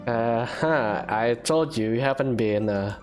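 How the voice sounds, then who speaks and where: Gaming host, female: very deep voice, slow casual reactions, swinging intonation, game music clearly behind. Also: a little mellow. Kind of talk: casual let's play commentary.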